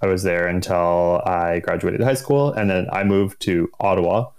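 Canadian male interview guest, thirties, very low gravelly voice, informal and halting delivery. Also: fairly even intonation, darkish tone. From Ontario, Canada. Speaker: interview guest, endurance cyclist. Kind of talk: casual interview chat.

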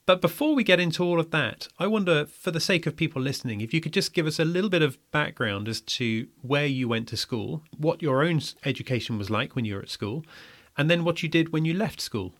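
A podcast host introducing and interviewing a guest in a measured, conversational way. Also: mid-range voice, animated, a bit bright.

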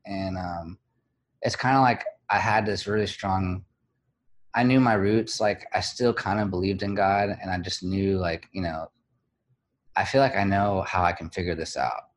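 A man speaking as an interview guest, candid and reflective, pausing often with fillers and restarts. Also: low voice, steady tone. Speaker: guest, blogger.

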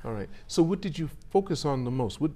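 A middle-aged man hosting a casual interview, chatty and rambling, correcting himself and firing quick follow-up questions. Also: fairly high pitch, expressive, dark tone. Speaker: podcast host.

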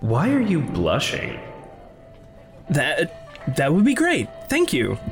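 In a reverberant space, a jittery young adult man from an audio drama. He is awkward and halting, repeats himself anxiously, and his pitch swings wildly up and down. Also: huge pitch swings, soft background music, full character voice. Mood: flustered. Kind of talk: fiction podcast audio drama acting.

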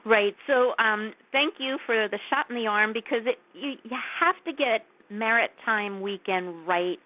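A woman's voice: on the high side, a little slow, no room sound. An earnest, enthusiastic woman talks as a radio guest about her work at a museum, and she laughs easily. Her voice comes through a thin, tinny phone line. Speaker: museum executive director, guest. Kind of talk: radio interview, casual chat.

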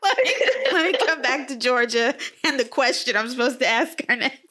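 A woman hosts a podcast in a bright, fairly high voice. She is playful, laughs often and talks straight to her listeners as y'all. She keeps the chat casual between her questions to the guest. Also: brisk. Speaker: podcast host. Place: US state of Georgia.

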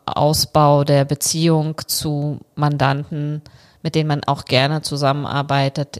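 Eine Frau, in Bulgarien aufgewachsen, mit Bulgarisch als Muttersprache, spricht als Gast in einem Podcast-Interview bedächtig und mit häufigem Zögern. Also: female voice, sits low. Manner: measured, thoughtful, with frequent hesitations. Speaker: law firm partner, podcast guest. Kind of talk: casual interview conversation.

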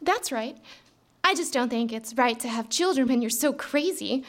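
An actress playing a gossipy, weary 1950s office secretary in a live radio drama on a theater stage. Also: female voice, high voice, lively intonation, normal speed. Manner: chatty, gossipy.

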